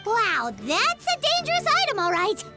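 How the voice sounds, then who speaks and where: A woman voicing a tiny, excitable, childlike floating fairy companion in a game, squeaky and exclamatory. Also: normal speed, full character voice. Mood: amazed. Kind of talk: English game dub acting. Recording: music prominent behind the voice.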